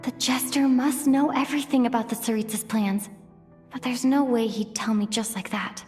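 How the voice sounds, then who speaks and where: A woman's voice: a little high, steady tone, moderate pace, darkish tone, faint music behind, lightly acted. A young woman playing a caring, resolute traveler heroine in a video game, earnest and thoughtful. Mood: pensive. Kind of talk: video game cutscene acting.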